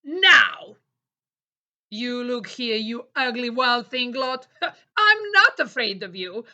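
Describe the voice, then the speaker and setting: A woman playing an excitable, blustering talking teddy bear in a children's audio play. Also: on the high side, slow pace, heavily acted. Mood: nervous bravado.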